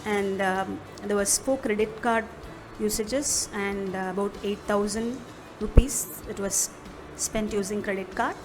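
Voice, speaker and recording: A woman with an Indian accent narrating an instructional software demo, explaining step by step in a measured manner. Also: mid-range voice, steady tone. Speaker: product demo presenter. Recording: music behind the voice. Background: background music.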